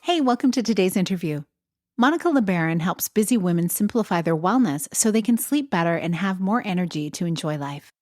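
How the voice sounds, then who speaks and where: A woman hosting a podcast, her voice a touch low and sounding upbeat and encouraging. The recording is close and dry, with no room sound at all. Faint music sits underneath her. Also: expressive, a little fast, darkish tone, no room sound. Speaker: podcast host and health coach. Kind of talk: scripted intro and interview hosting.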